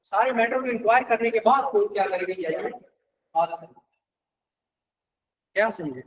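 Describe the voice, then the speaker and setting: A man with a very high-pitched voice and a Pakistani accent teaches law to a class. He sounds conversational, switching into English for legal terms and posing rhetorical questions to check understanding. The microphone picks him up from a distance. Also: moderate pace. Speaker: law lecturer. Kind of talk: classroom lecture explaining legal articles, mixing Urdu with English terms.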